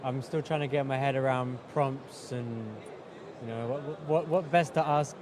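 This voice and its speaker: Male interviewer on a loud show floor. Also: medium pitch, steady tone, noisy background. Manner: halting, repeats phrases. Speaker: event interviewer. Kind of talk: casual live interview. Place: busy exhibition hall.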